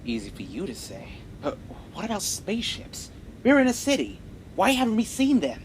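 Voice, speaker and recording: A man's voice: sits high, wildly swooping pitch, moderate pace, slight background noise, rough recording. A man playing a skeptical, bewildered but decent newspaperman in a radio drama, with an incredulous, questioning delivery. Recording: crowd chatter behind.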